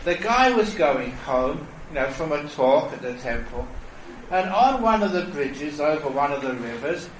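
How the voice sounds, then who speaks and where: A Buddhist monk giving a dharma talk at a retreat, telling stories in a playful, persuasive way. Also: male voice, on the high side, big pitch swings, a little slow, a little hiss or hum. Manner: storytelling, playful and warmly persuasive. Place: temple hall. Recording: echoey hall from a distance.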